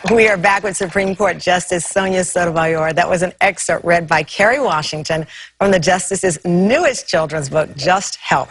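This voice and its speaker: A woman's voice: fairly low pitch, a bit bright, husky, dry recording. A woman hosting a broadcast interview, introducing her guest and putting considered questions. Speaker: interview host.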